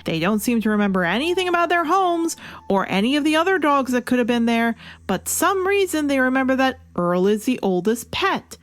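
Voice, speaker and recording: A woman's voice: high-pitched, sing-song, a little fast, no room sound. A woman delivering a scripted, opinionated review as the host, leaning into her points with emphasis. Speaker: comic book reviewer and show host. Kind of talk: scripted video review narration. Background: music under the voice.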